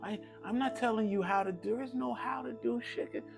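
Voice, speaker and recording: A man's voice: very high voice, a little fast, vocal fry, music in the background. A man giving casual motivational talk as a spiritual coach, slangy and emphatic, repeating phrases for effect. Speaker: spiritual coach and channel host.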